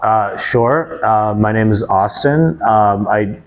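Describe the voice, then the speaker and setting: A man in his forties with a lowish voice speaks as a podcast guest. He talks like an educator, thoughtful and measured. He weighs each point before moving on. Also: highs cut off. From United States. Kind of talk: casual podcast interview.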